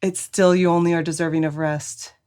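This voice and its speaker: A woman co-hosting a podcast, telling a personal story in a gentle, hesitant way with pauses. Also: lowish voice, flat delivery, clean tone. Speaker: podcast co-host. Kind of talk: reflective conversational storytelling.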